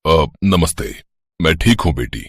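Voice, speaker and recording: A gentle, tired father speaks kindly in a very deep, husky voice. His pitch moves widely. The sound is dry. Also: animated, unhurried, no room sound, exaggerated voice.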